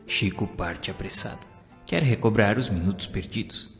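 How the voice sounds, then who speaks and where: A man with a Brazilian accent reading devotional stories and poetry as a narrator, in a solemn, expressive, declamatory way. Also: lowish voice, normal speed. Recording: long reverb, guitar music behind. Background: plucked guitar music.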